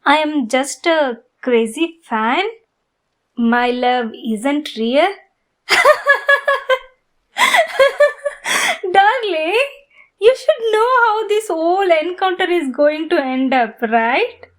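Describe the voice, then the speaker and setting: Woman acting a slow, high-voiced, obsessed yandere girl. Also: lively intonation. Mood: lovesick, teasing.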